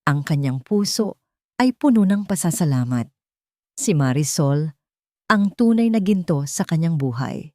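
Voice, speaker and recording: Low-pitched Filipino woman narrating, close and dry. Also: animated, a little slow, dark tone, dry recording. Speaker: audiobook narrator. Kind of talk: scripted romantic drama narration.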